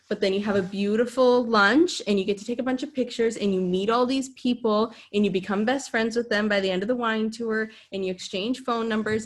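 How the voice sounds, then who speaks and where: Bubbly Minnesotan woman. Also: medium pitch. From Minnesota, USA. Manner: bubbly, laughs often, rambling storyteller. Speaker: podcast guest. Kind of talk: casual chat.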